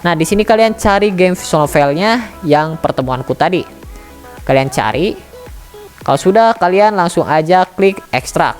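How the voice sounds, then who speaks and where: A woman's voice: on the low side, lively intonation, moderate pace, darkish tone, faint music behind. A woman giving a casual, chatty step-by-step tutorial, talking straight to her viewers. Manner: chatty, addresses viewers directly. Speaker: tutorial channel admin.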